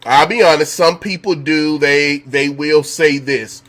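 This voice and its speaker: A man hosting a live call-in show from home, talking in a relaxed, chatty way with a slightly hoarse voice and repeating his questions for effect. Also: a little high, unhurried. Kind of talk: casual live-stream talk.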